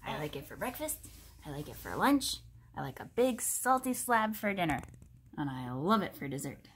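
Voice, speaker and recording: A woman voicing a melodramatic young watermelon-loving crocodile from a picture book, with exclamatory, drawn-out delivery. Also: on the low side, sing-song, voice pushed down. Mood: gleeful. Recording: noisy home recording.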